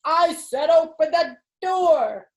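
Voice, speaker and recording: A woman's voice: very high voice, animated, slow pace, darkish tone, voice pushed up, heavily acted. Woman reading as a firm, reassuring storybook mother. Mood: stern. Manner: parental, instructive. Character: firm but reassuring mother of a young girl. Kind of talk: children's storybook character reading.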